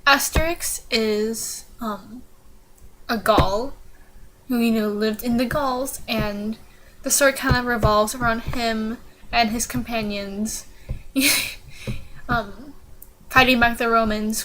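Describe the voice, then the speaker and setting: A woman's voice: on the high side, fairly even intonation, takes it slowly, pauses often, fairly bright tone, low-quality recording. Giggly awkward teen girl vlogger. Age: teens. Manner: giggly, awkward, many ums. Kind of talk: casual informative vlog.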